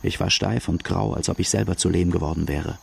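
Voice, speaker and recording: An actor playing a brooding outlaw who gravely narrates his own life story in a radio drama. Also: low voice, fairly even intonation, fairly quick, exaggerated voice. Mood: grave, haunted. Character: brooding young male Irish-Australian outlaw telling his life.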